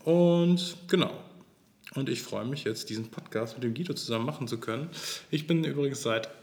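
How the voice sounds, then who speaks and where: A man in his thirties with a mid-pitched voice hosts a relaxed church podcast. He rambles a little, laughs easily and often restarts his sentences. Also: a little fast, gravelly, roomy sound. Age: 30s. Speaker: sexton and podcast co-host. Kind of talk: casual chat.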